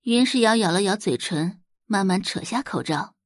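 A woman's voice: mid-range voice, expressive, moderate pace, dry recording, clean studio sound. A woman narrating a novel as an audiobook, in a dramatic scripted storytelling style.

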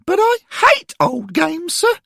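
A man voicing a nervous, sulky schoolboy ghost in a high squeaky falsetto who whines and ends his lines with "sir". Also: wildly swooping pitch, takes it slowly, gravelly, pushed and bright, no room sound, studio quality, full character voice. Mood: whiny.

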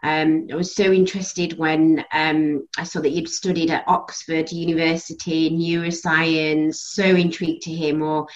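A woman's voice: deep voice, flat delivery, a little slow, few pauses. Woman host on muffled audio. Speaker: podcast host. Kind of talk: interview introduction.

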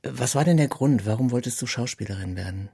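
Husky, creaky man interviewing casually. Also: mid-range voice, brisk, mellow.

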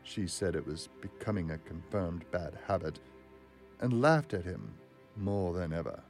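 A man's voice: low-pitched, very animated, a little slow, a little mellow, soft background music. A man presenting and narrating a classic story as a dramatic literary reading.

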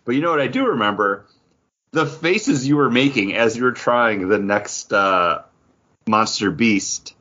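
A man's voice: medium pitch, lively intonation, unhurried, sharp tone, highs cut off. A man chatting casually as a playful, joking co-host of a pop culture podcast. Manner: playful, jokey.

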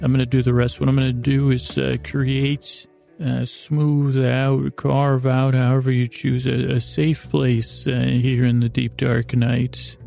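A man hosting a bedtime podcast in a soothing, meandering monologue, halting often and repeating words as he interrupts himself. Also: mid-range voice, little pitch movement, creaky, warm tone, faint music behind. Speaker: host of a bedtime-story podcast.

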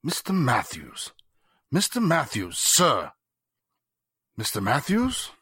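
An actor playing a polite, solicitous manservant in an old-time radio drama, speaking formally and hesitantly. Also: male voice, medium pitch, huge pitch swings, slow, pushed and bright, slightly rough, no echo, studio quality, heavily acted. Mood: anxious, deferential. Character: deferential, solicitous manservant to a restless master.

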